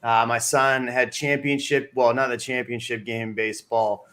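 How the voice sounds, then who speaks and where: A man hosts a relaxed real estate livestream from home over a computer mic. His voice sits at an ordinary pitch. He jokes and teases his co-hosts as he moves the talk along. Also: normal speed, very noisy. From Texas. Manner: joking, teasing. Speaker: real estate show host. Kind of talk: casual livestream chat. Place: home studio on a live stream.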